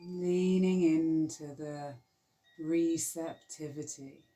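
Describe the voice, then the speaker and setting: A woman guiding a yoga nidra relaxation, speaking softly and soothingly with long pauses. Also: deep voice, steady tone, soft background music. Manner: slow, soft, soothing guidance with long pauses. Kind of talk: guided relaxation meditation.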